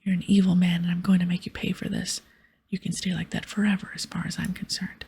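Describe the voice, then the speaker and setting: A woman voicing a stern fantasy-game adventurer in a breathy, firm whisper. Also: lowish voice, level tone, fast, mellow, heavily acted. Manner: firm, accusing. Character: stern adventurer questioning and scolding a wrongdoer.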